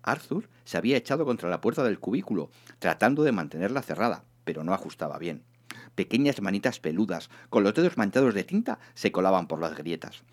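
A male narrator reads a comic science-fiction story from a script. His intonation is animated and moves widely up and down. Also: fairly low pitch, brisk.

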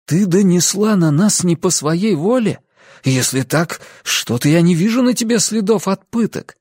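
A woman's voice: on the low side, lively intonation, normal speed, a little mellow, breathy edge, no room sound, clean studio sound, pitched above the performer's own voice. Woman reader as an anguished, accusing young man.

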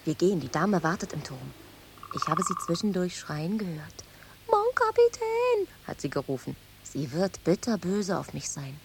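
A woman acting a passionate, sharp-tongued servant and lover on an island in a German radio drama. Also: fairly low pitch, big pitch swings, moderate pace. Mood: hushed, anxious. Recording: noisy radio drama with sound effects.

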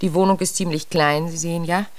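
An actress playing an anxious, genteel English widow who owns a shop, polite and hesitant, in a German radio crime drama. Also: female voice, on the low side, fairly even intonation, brisk, no echo, lightly acted. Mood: polite, explaining.

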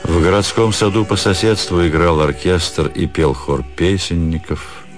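A man narrating a literary story for radio in a measured, expressive storytelling manner. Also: deep voice. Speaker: narrator, actor reading literary prose. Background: music under the voice.

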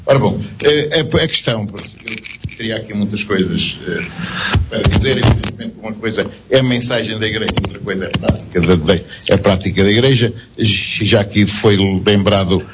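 A man's voice: lowish voice, normal speed, heavy background noise. A man speaking up from the audience at a public debate, hesitant and repeating words as he builds his question. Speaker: audience member asking a question. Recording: dull lo-fi recording.

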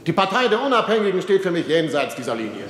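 An actor playing a stiff, self-important chancellor in a radio drama, giving formal, officious oratory. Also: male voice, sits high, big pitch swings, fast talker, a bit bright. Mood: stern, officious. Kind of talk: radio drama parliamentary speech. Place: large echoing chamber. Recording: reverberant hall, faint ambient noise.

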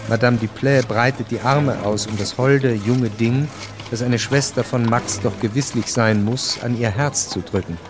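A man narrating a literary radio drama from a script in a measured, precise way. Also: mid-range voice, music in the background.

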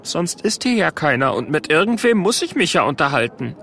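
A man's voice: a little high, very animated, a little fast, creaky, sharp tone, voice pushed up, full character voice. A man voicing a cheeky, fearless little boy dragon in a children's story, with a pert, childlike delivery.

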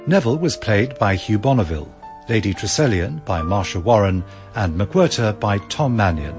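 Guy reading radio play credits, low voice, soft music under. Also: moderate pace. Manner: formal, even announcing. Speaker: announcer.

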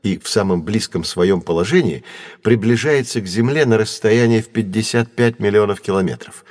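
An actor playing a patient, knowledgeable teacher in an educational audio drama, explaining in measured, clear phrases. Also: male voice, on the low side, darkish tone, no room sound, studio quality, lightly acted. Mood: explanatory. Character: patient, knowledgeable male astronomy teacher guiding children.

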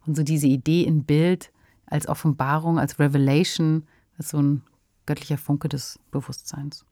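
Low, mellow woman co-hosting a podcast. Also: level tone, normal speed, dry recording, studio quality. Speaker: podcast co-host. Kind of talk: conversational radio drama discussion.

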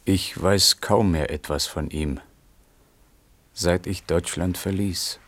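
Low, unhurried, sober man. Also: a bit bright, heavily acted. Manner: measured, matter-of-fact. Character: sober, knowing male companion recalling a sick poet. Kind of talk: German radio play acting.

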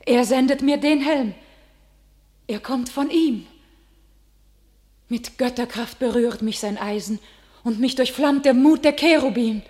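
An actress playing a young shepherdess turned holy warrior, declaiming classical verse in a solemn, exalted manner in a radio drama. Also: female voice, sits high, measured pace, long pauses, darkish tone. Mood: exalted rapture.

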